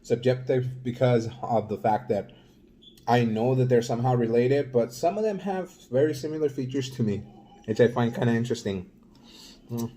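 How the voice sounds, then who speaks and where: A middle-aged Salvadoran man talking casually to his viewers, halting and full of fillers. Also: mid-range voice, dark tone. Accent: Mexican-influenced Spanish. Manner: rambling, halting, many fillers. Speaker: vlogger. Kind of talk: casual vlog talk.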